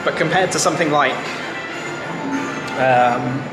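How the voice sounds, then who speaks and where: A man's voice: mid-range voice, a little slow. A British man in his twenties giving chatty, informal vlog narration to camera on a handheld camera outdoors at a theme park. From Britain. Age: 20s. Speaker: travel vlogger, host. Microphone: handheld camera at arm's length. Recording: music over outdoor theme park sound.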